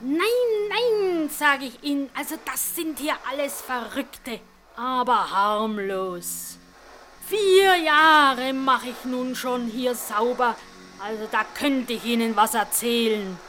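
A grumbling, good-hearted cleaning woman chats in a radio play. Her voice is high and sharp, and she takes her time with folksy, self-satisfied gossip. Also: lively intonation, slow pace, a little hiss or hum, full character voice. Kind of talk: German radio drama monologue.

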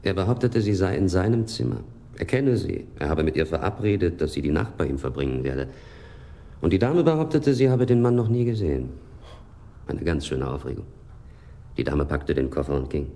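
An actor playing a polite, precise and exasperated hotel manager in a German radio crime drama, courteous yet disdainful. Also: male voice, low voice, sing-song, brisk, mellow. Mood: precise, gossipy.

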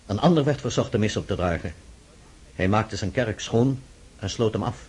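An actor in a radio play voicing a deferential, dutiful military aide who reports formally and soberly to his emperor in a husky voice. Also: fairly low pitch, animated, moderate pace, darkish tone. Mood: grave, reporting. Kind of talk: radio drama acting.

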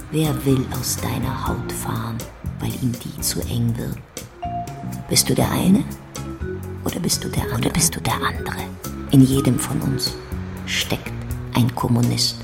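An actress playing a blunt, mocking, earthy warehouse worker in a radio play, speaking deliberately and often in breathy half-whispers. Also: female voice, extremely low pitch, over music, exaggerated voice. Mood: intense, defiant. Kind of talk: stylised radio play acting.

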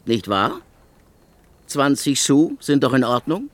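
A man's voice: mid-range voice, huge pitch swings, slow pace, dry recording. A male actor playing a fussy, anxious provincial nobleman who speaks insistently in a radio play. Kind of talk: radio drama acting.

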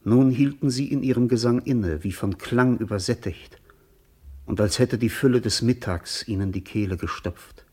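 A man acting as the detached, observant narrator of a literary radio play, reading poetic description in a measured, composed way. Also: medium pitch, warm tone, slightly rough, natural voice.

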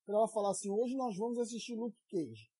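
A man from Rio de Janeiro hosting a casual podcast, teasing his co-hosts with quick joking asides. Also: high-pitched, animated, dark tone, breathy edge. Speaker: podcast host.

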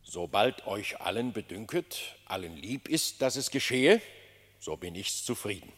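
A man's voice: fairly low pitch, animated, unhurried, a bit bright. An actor playing a solemn, gracious animal king, speaking stately, formal verse in a classic German radio play. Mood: measured assent. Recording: long hall-like reverb on voice.

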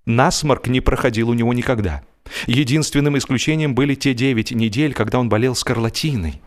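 A man narrating comic literature as an audiobook performer, in an expressive, mock-serious way, drawing out the ends of his phrases. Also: medium pitch. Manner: expressive, wry mock-serious delivery with drawn-out phrase endings.

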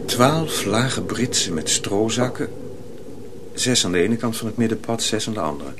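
A man narrating a scripted story dramatically, with a deliberate and suspenseful delivery. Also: on the low side, lively intonation, very noisy.

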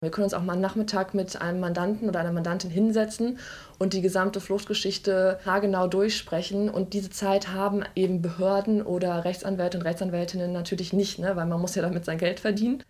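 A woman's voice: lowish voice, level tone, fast talker, a little mellow. A woman in her twenties, co-founder of a student legal aid group, speaking thoughtfully in an interview with frequent fillers. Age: 20s. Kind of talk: interview statement.

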